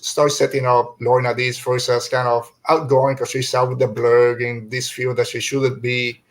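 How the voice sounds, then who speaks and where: A man hosting a podcast, chatting casually through a review and often searching for his words as he rambles. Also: mid-range voice. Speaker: podcast host.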